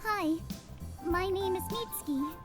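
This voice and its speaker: A woman playing a shy, nervous, sweet young schoolgirl in an anime game, timid and soft-spoken. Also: extremely high pitch, a little slow, soft background music. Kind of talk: anime visual novel voice acting.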